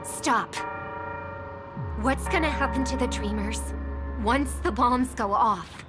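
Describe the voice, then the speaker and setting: Game heroine casting: earnest young woman, highish voice, solemn and resolute, music beneath. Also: slow. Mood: stern. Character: solemn, principled young sister, guardian of harmony. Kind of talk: video game character acting. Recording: music under the voice.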